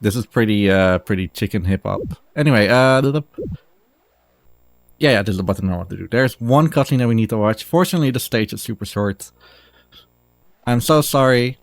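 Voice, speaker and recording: A man's voice: on the low side, fairly quick, pauses often, no room sound. A man giving casual, rambling live commentary as he speedruns a game, with a self-deprecating manner. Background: game music.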